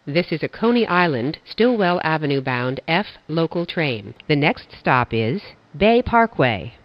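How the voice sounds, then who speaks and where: An automated-sounding subway announcer reading stops and transfers in flat, measured phrasing. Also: medium pitch, no room sound. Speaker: train announcer. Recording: dull lo-fi audio.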